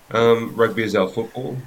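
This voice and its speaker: A man with a New Zealand accent, a rugby coach telling his life story as an interview guest, in an unhurried way with many pauses. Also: mid-range voice, vocal fry, a bit bright, poor audio.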